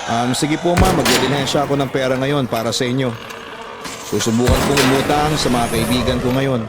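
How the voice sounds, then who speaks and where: A man's voice: mid-range voice, fairly quick, very noisy, close to an everyday voice. A man with a Filipino accent playing a dutiful, hard-up young student speaking respectfully in a radio drama. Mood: reassuring. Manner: earnest, respectful. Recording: background music under the voice.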